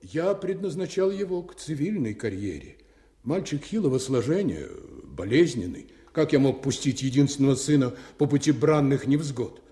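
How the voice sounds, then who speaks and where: A man's voice: mid-range voice, very animated, moderate pace, dark tone, close to an everyday voice. An actor playing a worried, fond father of a noble Russian family in a radio play monologue. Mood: concerned, reminiscing.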